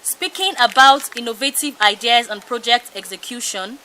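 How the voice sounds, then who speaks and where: A woman's voice: fairly high pitch, pushed and bright. A young adult woman with a Nigerian accent reading a scripted news report as a student reporter.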